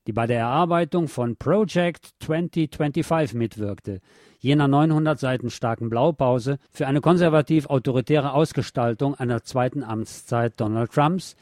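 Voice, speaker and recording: A man's voice: mid-range voice, a little mellow, no echo, studio quality. A man hosting a radio show, reading news articles aloud in a sardonic way with mocking asides.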